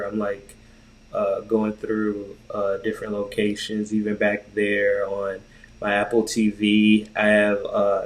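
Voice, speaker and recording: A man co-hosting a podcast in casual conversation, rambling and halting as he thinks aloud in slang. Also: fairly low pitch. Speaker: podcast co-host. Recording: roomy, echoey sound.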